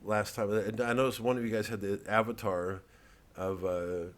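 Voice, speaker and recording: A man with an American accent and a lowish voice delivers a casual comedian's monologue. He wanders from one aside to the next and swears freely, as if chatting straight to his followers. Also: level tone, normal speed. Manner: rambling, digressive, profane. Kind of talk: casual vlog monologue to fans.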